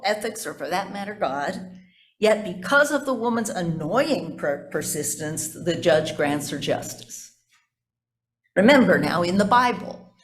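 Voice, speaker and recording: A woman pastor giving a sermon that explains scripture, stressing key words emphatically. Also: lowish voice.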